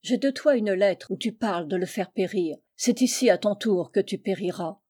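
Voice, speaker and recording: A woman's voice: mid-range voice, normal speed, mellow, breathy edge, natural voice. A woman voicing a proud, vengeful medieval king in a storybook, declaiming pompously. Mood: stern, accusing.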